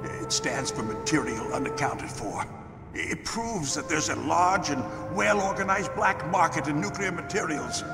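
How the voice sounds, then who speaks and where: A captive arms boss in a game drama, his gravelly voice pitched a little high and strained. He sounds cynical, bitter and worn down, stressing words dramatically. Loud music plays under the echoing voice. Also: animated, a little slow. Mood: grave, lecturing. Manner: weary, bitter, explains at length with dramatic emphasis. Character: battered, cynical male arms-company boss held captive. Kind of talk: dramatic video game voice acting. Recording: echoey hall reverb under loud music.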